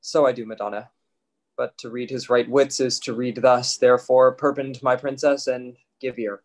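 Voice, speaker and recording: A man acting an impudent fool, mid-pitched. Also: flat delivery, normal speed, close to an everyday voice. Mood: playful. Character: impudent witty fool in a Shakespeare comedy. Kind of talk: live table read of Shakespearean verse drama. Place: home kitchen, video call.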